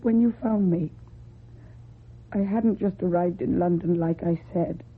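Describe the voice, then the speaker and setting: A husky, timid young woman. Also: on the low side, a little slow. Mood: confessing, uneasy. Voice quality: husky, breathy. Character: timid, guarded young runaway woman confessing her past. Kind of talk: old-time radio drama acting. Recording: muffled, phone-like old radio recording.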